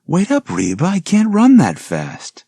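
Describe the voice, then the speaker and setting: Man putting on an urgent, higher-voiced college girl. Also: huge pitch swings, a little slow, gravelly, warm tone, slightly rough, dry recording, full character voice. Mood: urgent, breathless. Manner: urgent, exclaiming. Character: anxious, breathless college girls out at night.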